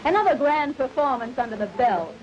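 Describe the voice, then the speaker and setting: An actress playing a brash, wisecracking young burlesque stripper in the acting style of a 1930s melodrama film, slangy and pushy. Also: female voice, sits high, expressive, brisk, a little mellow. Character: brash, fun-loving burlesque stripper, worldly young woman. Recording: scratchy, dull old film soundtrack.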